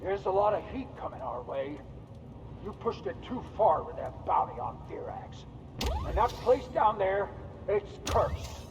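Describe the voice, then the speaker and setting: An actor playing an anxious, accusing gang underling in a video game. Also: male voice, high voice, big pitch swings, a little slow. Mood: agitated, warning. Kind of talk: video game cutscene acting. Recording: distant, noisy, vehicle sounds.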